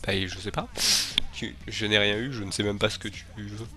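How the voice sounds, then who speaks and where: A man's voice: lowish voice, steady tone, brisk, sharp tone. A man with a head cold talking casually to his channel's viewers, rambling and hesitant, with frequent filler sounds and restarts. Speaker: channel host. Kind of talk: casual video announcement to viewers.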